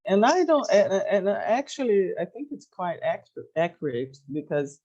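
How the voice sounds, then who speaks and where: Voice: mid-range voice, big pitch swings, measured pace, dark tone, lo-fi sound. A speaker from Brazil with a Brazilian accent and Portuguese as first language, talking hesitantly in an informal academic discussion, searching for words.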